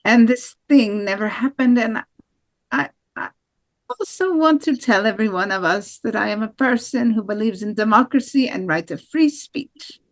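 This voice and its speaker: A woman's voice: on the high side, animated, moderate pace, lightly acted. A woman with an American accent playing a defeated female nationalist presidential candidate in an amateur table read of a speech. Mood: formal, conceding.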